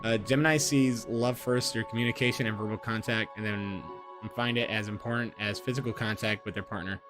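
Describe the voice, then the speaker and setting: A man's voice: fairly low pitch, fairly even intonation, fairly quick. A man in his twenties reading aloud and chatting casually, rambling with fillers and repeated words, poking fun at himself. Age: 20s. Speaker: gaming streamer. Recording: music playing underneath. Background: music.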